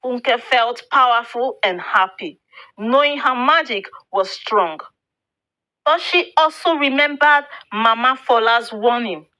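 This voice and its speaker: A woman narrating a scripted folktale in a deliberate, expressive storybook manner. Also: a little high, low-quality recording.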